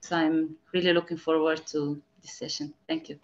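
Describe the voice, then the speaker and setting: Low-voiced woman from Spain, composed. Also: very animated, moderate pace. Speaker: volunteer. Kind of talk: self-introduction on a call. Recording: dull lo-fi call audio.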